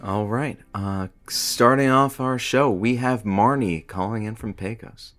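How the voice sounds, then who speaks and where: An actor playing a teasing radio station producer with a sardonic, deadpan manner. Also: male voice, on the low side, unhurried, faint music behind. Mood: businesslike.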